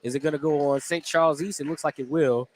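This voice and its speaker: A man's voice: fairly high pitch, fairly even intonation, quick pace. A man calling live basketball play-by-play for radio, in clipped phrases with detailed descriptions of the action. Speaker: play-by-play announcer. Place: indoor basketball gym during a game. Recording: noisy gym ambience, bouncing ball. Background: gym noise and basketball bounces.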